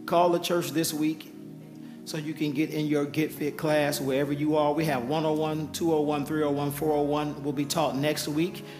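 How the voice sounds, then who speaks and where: A man who is a pastor giving an altar call and announcements to his congregation, with a hoarse voice he says he keeps losing. He talks in a coaxing, repetitive preaching manner. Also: on the high side, little pitch movement, normal speed. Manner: urgent repeated coaxing, preacherly. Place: church sanctuary. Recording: live church sound with music and crowd. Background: music and congregation.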